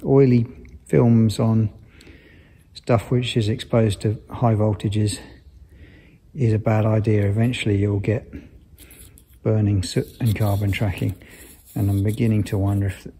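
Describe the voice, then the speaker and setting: Low, gravelly man explaining a repair. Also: level tone, measured pace, pauses often, dark tone, a little hiss or hum. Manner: thoughtful, explaining step by step while working. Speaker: aircraft maintenance engineer presenting a repair video. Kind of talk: hands-on technical commentary, unscripted.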